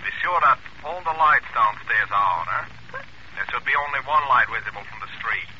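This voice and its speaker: An actor playing a cold, deliberate, commanding crime boss in a 1940s radio suspense drama. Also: male voice, mid-range voice, animated, natural voice. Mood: cold, businesslike. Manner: deliberate, matter-of-fact, commanding. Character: cold, businesslike male boss plotting a murder. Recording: noisy, tinny old radio phone scene.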